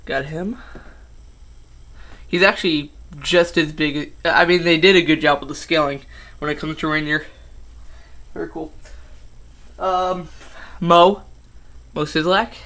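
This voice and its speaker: A man's voice: a little high, steady tone, measured pace, pauses often, pushed and bright. A fifteen-year-old boy chatting casually about his toy collection, rambling with lots of ums and restarts. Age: teens. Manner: rambling, with many ums and self-corrections. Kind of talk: casual collection update vlog.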